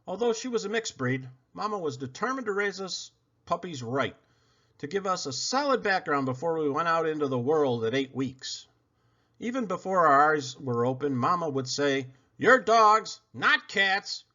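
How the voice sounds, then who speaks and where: A man's voice: high-pitched, expressive, moderate pace, pushed and bright, lo-fi sound, voice pushed up. A man voicing a blunt, talkative female pet dog who rambles on in a children's storybook. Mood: rambling, eager. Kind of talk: children's storybook read-aloud.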